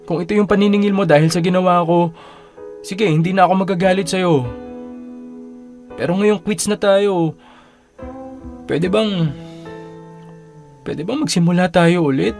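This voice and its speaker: A man's voice: high voice, a little mellow. An actor playing a young man confessing long-hidden love in a romantic radio drama, pleading and hesitant, often repeating his phrases.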